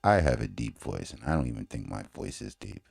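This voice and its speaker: A man's voice: extremely low pitch, lively intonation, a little fast, creaky, a little mellow, breathy edge. A man runs a loose, slang-heavy interview. He laughs easily and keeps the talk casual. The sound is dry and close, with no room echo. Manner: laid-back slangy talk, laughs often. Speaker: show host.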